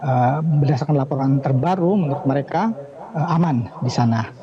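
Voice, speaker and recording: A middle-aged man answering questions over a remote call, halting and pausing often with filler sounds. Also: medium pitch. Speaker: evacuee interviewed by phone. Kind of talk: hesitant phone interview answers. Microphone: phone or video call. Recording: dull lo-fi remote line with crowd behind.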